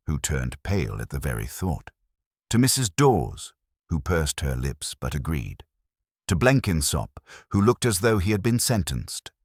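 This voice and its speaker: Mystery narrator, male: exceptionally low pitch with animated intonation, measured and theatrical scripted storytelling. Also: no room sound, studio quality.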